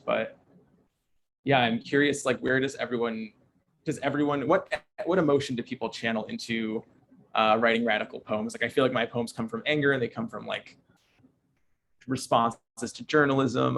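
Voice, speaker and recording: A man with a creaky, mid-pitched voice moderates a discussion informally. He feels his way through questions, with faint noise on the call. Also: fairly bright tone. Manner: informal, halting. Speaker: host and poet moderating a reading. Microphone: video call.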